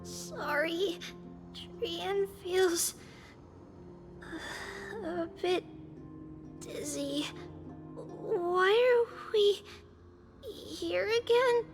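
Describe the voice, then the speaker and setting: A woman's voice: very high-pitched, heavily acted. Tiny, timid, dreamy little girl. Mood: sad, dazed. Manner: halting, childlike short phrases. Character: timid, dazed little girl speaking in third person. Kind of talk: video game voice acting. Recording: music behind the voice.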